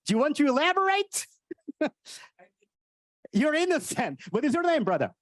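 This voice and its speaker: A Portuguese man with a Portuguese accent and an odd, cartoonish voice, doing energetic stand-up and teasing the audience on stage. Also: very high-pitched, normal speed, no echo, clean studio sound. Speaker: stand-up comedian. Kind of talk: live stand-up with crowd work. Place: on stage at a live event.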